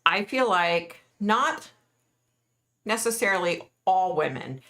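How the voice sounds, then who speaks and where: Blunt deep-voiced woman with gravelly edge. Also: sing-song, slow, bright, forceful tone. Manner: blunt, opinionated, ranting. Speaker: psychic livestream host. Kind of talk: casual live chat answering viewers.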